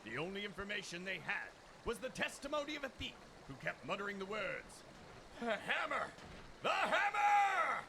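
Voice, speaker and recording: A man voicing a pompous, boastful gentleman inspector in a video game, with grandiloquent, declamatory delivery. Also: high-pitched, big pitch swings, normal speed, background noise. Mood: dramatic storytelling. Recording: loud music behind. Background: game music.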